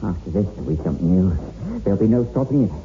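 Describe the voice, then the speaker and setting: An actor playing a superstitious, nervous old family servant in a 1960s radio horror play, hesitant, fretful and ominous. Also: male voice, low voice, lively intonation, racing, creaky. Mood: grave foreboding. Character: superstitious, nervous eighty-year-old male family retainer. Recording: muffled, phone-like, noisy old radio recording.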